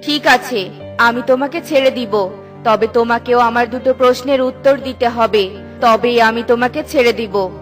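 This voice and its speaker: A woman voicing a kindly but firm fairy in a children's cartoon, calm and commanding. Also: a little high, moderate pace, faint music behind, voice pushed down, close to an everyday voice. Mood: stern.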